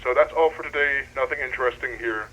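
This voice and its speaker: A man playing an earnest, wonderstruck deep-sea researcher speaking slightly formally into a recorded log for an analog horror drama. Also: fast talker, sharp tone, muffled recording. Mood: calm, signing off. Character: excitable male deep-sea researcher keeping an audio log.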